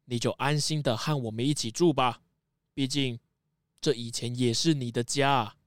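A man's voice: medium pitch, steady tone, moderate pace, no echo, studio quality, natural voice. An actor playing a stern tycoon father in a children's audio drama. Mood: relenting. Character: stern, indignant father, a tycoon who softens. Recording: loud music behind.

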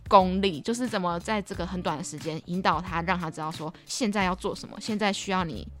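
A woman's voice: on the low side, fast talker. A young woman with a Taiwanese Mandarin accent chatting casually as a podcast co-host. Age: young adult. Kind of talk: casual chat.

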